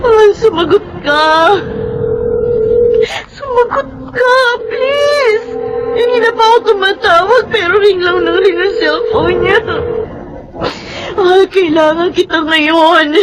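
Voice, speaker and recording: A woman's voice: takes it slowly, poor audio. An actress playing a loving, emotional pregnant young wife and mother in a Filipino radio soap opera. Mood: frantic, crying. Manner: highly emotional, tearful delivery. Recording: dramatic music under the voice.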